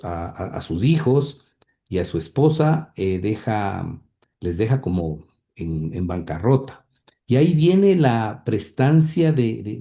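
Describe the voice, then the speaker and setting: Slow, dark-voiced hesitant man. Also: deep voice. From Ecuador. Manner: hesitant, many fillers and restarts. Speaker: interview guest, grandson of the subject. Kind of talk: recounting family history in an interview.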